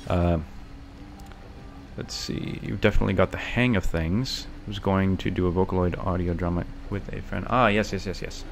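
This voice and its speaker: A man's voice: sits low, steady tone, normal speed, gravelly, a little hiss or hum. A young man giving casual gameplay commentary, rambling thoughtfully and often correcting himself mid-sentence. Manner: rambling, self-correcting, bookish. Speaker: streamer.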